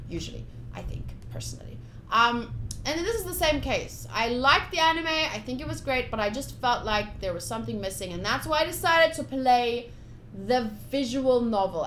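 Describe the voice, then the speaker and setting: High-voiced woman chatting on a live stream. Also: a bit bright, reverberant, slight background noise, poor audio. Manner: informal, chatty, addresses viewers directly. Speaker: live streamer playing a visual novel. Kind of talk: casual live-stream chat and game commentary.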